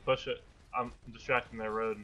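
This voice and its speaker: Male gamer, sharp bright voice, mid pitch, casual in-match callouts to his team. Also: level tone, moderate pace, dull audio. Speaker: gamer playing in a team shooter. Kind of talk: casual in-game team chat.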